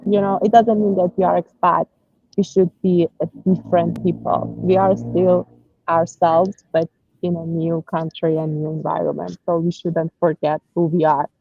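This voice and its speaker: A woman's voice: on the low side, flat delivery, normal speed, creaky, no room sound, lo-fi sound. A woman from Iran, speaking English with an Iranian accent, answering interview questions in an earnest, hesitant way with many fillers and restarts. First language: Persian. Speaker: guest. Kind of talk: conversational interview.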